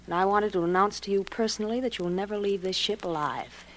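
An actress in a 1940s radio drama playing a jealous wife who makes cool, deliberate threats. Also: female voice, medium pitch, close to an everyday voice. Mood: menacing. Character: jealous, menacing wife holding a gun. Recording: noisy old 1940s radio recording.

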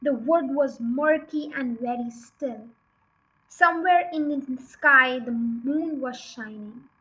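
A woman's voice: sits high, takes it slowly, bright, forceful tone, rough recording. A woman reading a children's storybook aloud as an audiobook narrator. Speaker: audiobook reader.

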